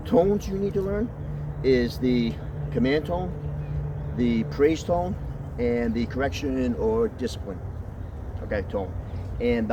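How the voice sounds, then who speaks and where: A man with a deep voice explaining how to train his dog, in a casual, conversational way that keeps checking in with "okay?". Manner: conversational, often checks in with "okay?", jumps to a high praise voice. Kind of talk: casual outdoor training talk. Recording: distant mic with outdoor street noise.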